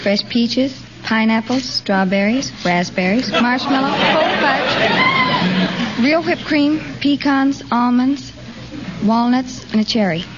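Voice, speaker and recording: A woman's voice: high-pitched, very animated, slow pace, a crowd in the background. A nineteen-year-old woman from California with an American accent, giving plain, brief answers as a quiz contestant. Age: teens, 19. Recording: muffled, noisy 1940s radio recording.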